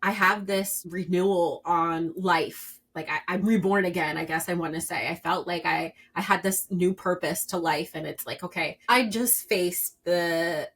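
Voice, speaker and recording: Woman in her 30s on a podcast, low-ish voice, earnest, gets loud and high when she pushes a point. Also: measured pace, few pauses, slightly rough. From Puerto Rican and Dominican background. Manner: earnest, emphatic, rising to a loud pitch when stressing a point. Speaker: podcast guest. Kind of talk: candid personal interview.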